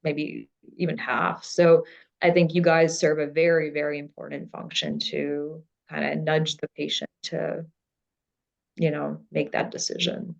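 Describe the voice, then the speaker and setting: A psychiatrist from Ukraine talking through ideas in a relaxed professional discussion, thoughtful and halting, with many fillers. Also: female voice, deep voice, gravelly, highs cut off.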